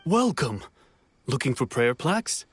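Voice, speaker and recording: Lively, courteous festival stall keeper. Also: male voice, medium pitch, measured pace, a little hiss or hum. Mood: welcoming. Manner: welcoming, polite. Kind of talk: video game character acting.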